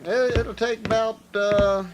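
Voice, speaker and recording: An actor playing an earnest, simple-hearted Old West sidekick with a rural Western American accent, stammering and repeating himself in a radio western. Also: male voice, high voice, level tone, slow, over a noisy crowd. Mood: concerned, eager. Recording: noisy, hissy old audio recording.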